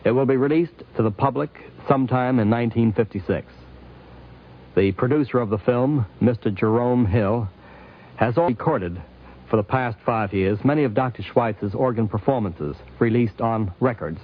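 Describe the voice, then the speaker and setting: A man's voice: medium pitch, normal speed. A man with a mid-range voice reads scripted narration as a formal 1950s radio announcer. The sound is tinny and narrow, like a telephone line. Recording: tinny, narrow-band old radio recording.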